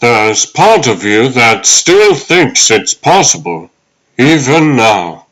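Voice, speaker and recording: Man acting a measured, wise old butler. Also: medium pitch, sing-song, fairly bright tone, heavily acted, poor audio. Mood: calm counsel. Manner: formal, measured, kindly. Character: wise, gentle ghostly old butler, fatherly. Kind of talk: comic dub acting.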